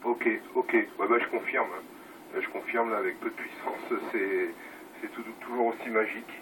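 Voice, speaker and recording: Man, lowish voice, two-way radio effect, faint noise, casual operator chat, repeats phrases. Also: steady tone, moderate pace. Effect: heard over two-way radio. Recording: distant noisy radio-speaker sound.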